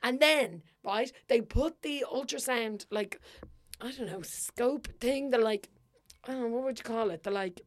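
A young woman co-hosting a podcast, chatting casually and telling stories, swearing freely, her voice sometimes a little gravelly. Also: on the high side, a little slow. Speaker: podcast co-host. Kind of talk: casual chat.

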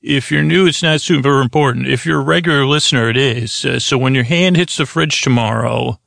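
Male bedtime podcast host, creaky voice, rambling improvised delivery, full of detours, very dry studio sound. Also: mid-range voice, fairly bright tone, no room sound. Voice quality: gravelly, creaky. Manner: meandering, halting, digressive, self-interrupting.

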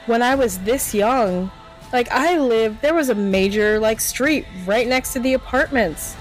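A woman's voice: a little high, a little slow, faint music behind, rough recording. An American woman in middle age telling stories in casual chat, animatedly acting out what people said. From eastern Pennsylvania, US. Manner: animated storytelling, acts out quoted remarks. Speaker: podcast co-host or guest.

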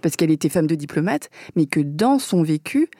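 A woman in her thirties in a casual conversation, speaking thoughtfully and pausing to search for words. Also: deep voice, sing-song, dark tone, no room sound, clean studio sound. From France. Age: 30s. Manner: thoughtful, many fillers and restarts.

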